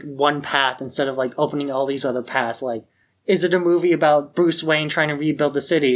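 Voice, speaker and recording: A man's voice: a little high, fairly bright tone. Opinionated man co-hosting a casual podcast. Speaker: podcast co-host. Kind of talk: casual film discussion.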